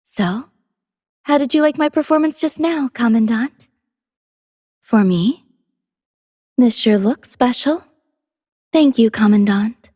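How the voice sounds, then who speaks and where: A woman voicing a gentle, devoted female android musician in a video game, soft-spoken, tender and poetic in a measured delivery. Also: on the high side, husky, dry recording, studio quality. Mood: tender, serene. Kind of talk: scripted game character voice lines.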